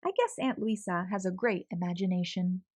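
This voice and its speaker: A young adult woman reading a children's picture book aloud in an expressive, animated way. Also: fairly low pitch, moderate pace, dry recording. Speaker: storyteller.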